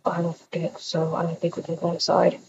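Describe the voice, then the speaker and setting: A woman voicing a laid-back, obliging young boyfriend in a game roleplay, speaking quietly and tersely. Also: completely flat delivery, a little fast, vocal fry. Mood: calm, low-key. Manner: quiet, low-key, terse. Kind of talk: improvised video game roleplay. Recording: dull, muffled lo-fi recording.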